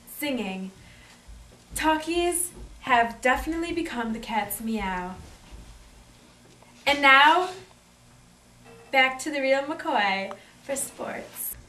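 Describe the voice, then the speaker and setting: A woman's voice: sits high, a bit bright. Woman playing a 1920s newswoman, echoey and distant. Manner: 1920s slang, reading from script with slips. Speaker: news anchor. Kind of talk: scripted 1920s news broadcast. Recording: echoey room, distant mic, background noise.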